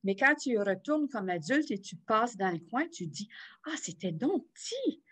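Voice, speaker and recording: A woman's voice: a little high, very animated, normal speed, slightly rough. An older woman, a guest in a casual remote interview, telling stories from her youth with restarts. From Cornwall, Ontario. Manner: warm storytelling with laughs and restarts. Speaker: interview guest, community association president.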